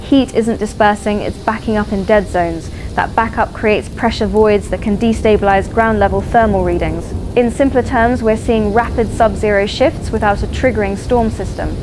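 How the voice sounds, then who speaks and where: A woman's voice: medium pitch, normal speed, few pauses, close to an everyday voice. A woman playing a grave climate scientist, explaining precisely as a news guest in an audio drama. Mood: grave, concerned. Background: music.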